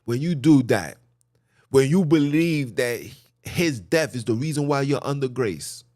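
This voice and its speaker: A man hosting a podcast, preaching a monologue with animated, emphatic delivery, often jumping high in pitch and swinging between loud and hushed. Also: a little slow. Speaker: podcast host.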